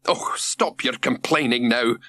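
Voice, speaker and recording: A man voicing a homely, brisk and kindly woman in an audiobook. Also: medium pitch, bright, forceful tone.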